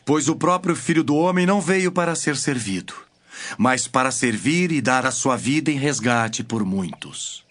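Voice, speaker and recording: An actor with a Brazilian accent playing a solemn, authoritative male teacher in a measured, declamatory scripture drama. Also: mid-range voice, lively intonation, fairly bright tone. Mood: calm authority. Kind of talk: dramatized scripture reading in formal Portuguese. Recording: music bed behind the voice.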